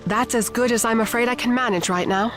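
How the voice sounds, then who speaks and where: A wary, practical boatwoman, played by an actress in an audio drama. She speaks a little high, cautious and downbeat with dread underneath, over faint music. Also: a little fast, close to an everyday voice. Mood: worried, practical. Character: wary, practical female boat-handler with hidden dread.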